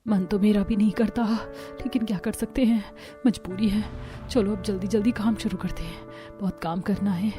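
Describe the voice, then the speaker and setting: A woman's voice: mid-range voice, fairly even intonation, normal speed, mellow, natural voice. Cartoon daughter-in-law, played by a woman: young, weary, whiny grumbler, faint music bed. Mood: weary, reluctant. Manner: whiny, complaining, exasperated. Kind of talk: Hindi cartoon story dialogue.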